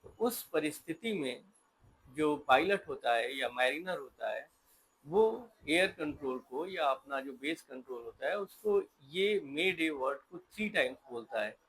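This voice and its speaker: A man's voice: a little high, lively intonation, unhurried, fairly bright tone, slight background noise, poor audio. An Indian man giving an informal explainer talk, mixing English terms into his explanatory speech. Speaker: presenter explaining a term.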